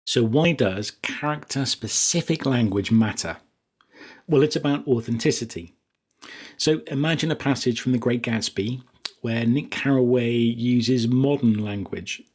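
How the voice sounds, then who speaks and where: A man's voice: medium pitch, measured pace, a little mellow. A man with a British accent presenting a scripted writing lesson as podcast host, with chatty asides. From Essex, England.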